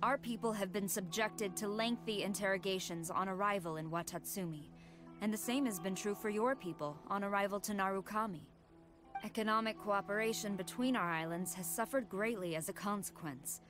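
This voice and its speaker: A woman playing a stern, formal military commander in a video game, speaking with measured authority. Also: medium pitch, over music, lightly acted. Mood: stern, businesslike. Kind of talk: scripted video game dialogue.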